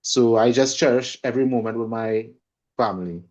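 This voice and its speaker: A man with a Trinidadian accent speaks conversationally and reflectively as an interview guest, often pausing and repeating words. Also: medium pitch, darkish tone, dull audio. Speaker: guest, singer and holistic coach.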